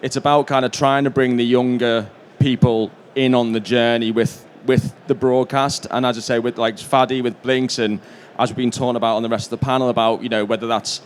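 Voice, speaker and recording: A man speaking as a panelist, conversational and halting, often repeating words as he goes. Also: medium pitch. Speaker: panelist from a broadcaster. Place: conference event panel. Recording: live panel with background noise.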